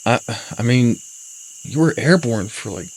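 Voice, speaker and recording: An actor playing a loyal, down-to-earth friend in an audio drama, talking in a casual, concerned way. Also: male voice, mid-range voice, expressive, a little slow, vocal fry, low-quality recording. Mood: concerned, wry. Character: loyal, down-to-earth male friend coming to the rescue.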